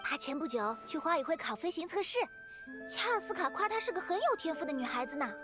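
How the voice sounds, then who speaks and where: A woman playing perky, friendly, excitable young girls in a Chinese fantasy game dub, with bubbly, expressive delivery. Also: high voice, fast talker. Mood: impressed. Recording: game dub under clear background music. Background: game music.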